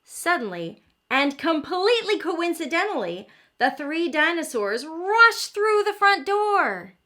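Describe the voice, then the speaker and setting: A woman with a high, very bright voice leads storytime for young children. She takes her time, speaking slowly in an animated, encouraging way. She explains things simply and puts questions straight to the child listening. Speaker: children's read-aloud host and teacher.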